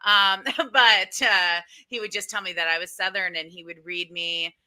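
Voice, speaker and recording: A woman from Connecticut talks as a podcast guest, a lively storyteller who laughs often. Her voice is very bright and sharp, at an ordinary pitch. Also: normal speed. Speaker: tour business owner, guest. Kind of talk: casual interview.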